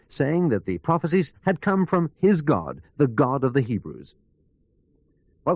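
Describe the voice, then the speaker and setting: Man playing an animated, articulate radio news correspondent. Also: mid-range voice, no echo. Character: male news correspondent recounting a biography for radio. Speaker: news reporter. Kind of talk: scripted radio news narration.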